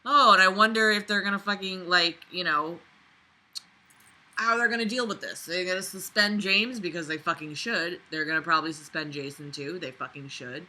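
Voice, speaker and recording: Sweary, sharp bright-voiced woman. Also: fairly low pitch, moderate pace, poor audio. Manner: sweary, sarcastic, indignant asides. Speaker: vlogger reading and critiquing a novel. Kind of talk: book reading with exasperated running commentary.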